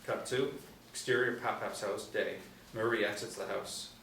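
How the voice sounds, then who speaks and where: Male narrator for script directions, lowish voice, recorded off-mic in a roomy space. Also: fairly even intonation, moderate pace, creaky, a bit bright. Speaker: narrator reading stage directions. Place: indoor room, seated reading panel. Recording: roomy, distant mic, faint noise.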